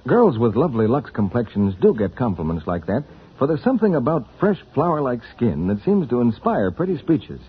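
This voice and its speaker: A man's voice: medium pitch, sing-song, fairly quick, slightly rough. A man announcing on 1940s radio, reading scripted introductions and advertising copy. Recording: muffled 1940s radio broadcast.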